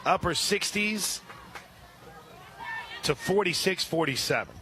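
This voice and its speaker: Man calling softball on the radio. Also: high voice, takes it slowly. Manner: steady play-by-play with brief asides, rising when excited. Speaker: radio play-by-play announcer. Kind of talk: radio sports commentary.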